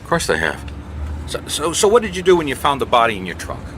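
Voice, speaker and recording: An actor playing a weary, wisecracking private investigator in a radio detective drama, with wry, exasperated deadpan delivery. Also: male voice, medium pitch, quick pace, a little hiss or hum. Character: weary, wisecracking male private investigator narrating his case.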